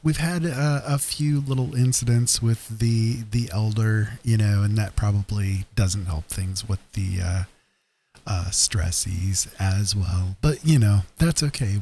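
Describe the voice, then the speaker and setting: A man's voice: lowish voice, dark tone, slight background noise. A man hosting a casual late-night livestream, rambling informally and a little sweary. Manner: rambling, informal, mildly sweary, self-amused. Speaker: live stream host.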